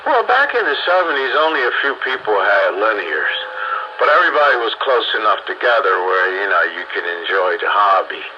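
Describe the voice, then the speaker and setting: Man, lowish voice, animated intonation, casual CB chat, dull noisy radio signal. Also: a little fast. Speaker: CB radio hobbyist.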